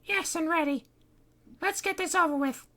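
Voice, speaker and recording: A woman's voice: moderate pace, a bit bright. A woman voicing a frantic young woman with claws who shrieks warnings. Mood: exasperated. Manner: shrill, screaming warnings.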